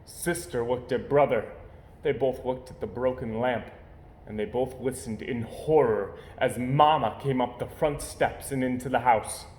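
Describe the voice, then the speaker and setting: A man reading a children's story aloud in an expressive narrating style. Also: mid-range voice, a little slow. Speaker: storybook reader. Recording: roomy echo, faint background noise.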